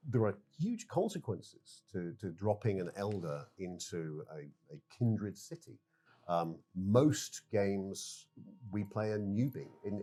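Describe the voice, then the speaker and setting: A man's voice: fairly low pitch, mellow, breathy edge. A middle-aged man, a narrative director, explaining his game in a developer presentation.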